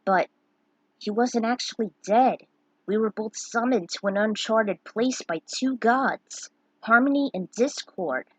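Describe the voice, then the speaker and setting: A brave young adventurer, read by a woman, speaks earnestly in a storybook tale. The voice is fairly high and husky, recorded dry. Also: unhurried, no room sound, poor audio. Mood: earnest, proud.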